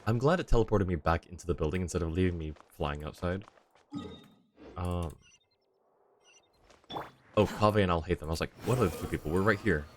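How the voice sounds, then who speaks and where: Very low-voiced man streaming a game. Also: brisk, long pauses, a little hiss or hum. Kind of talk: casual live gameplay commentary.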